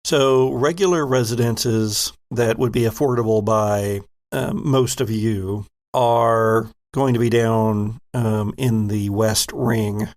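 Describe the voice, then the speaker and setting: A man running a tabletop game as game master, setting scenes with deliberate narration and frequent fillers. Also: lowish voice, fairly even intonation, darkish tone.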